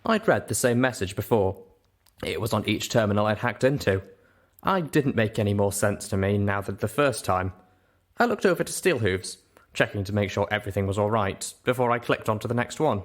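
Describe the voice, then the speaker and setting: A man's voice: lowish voice, animated, fairly quick. A man narrating a first-person story in audiobook style.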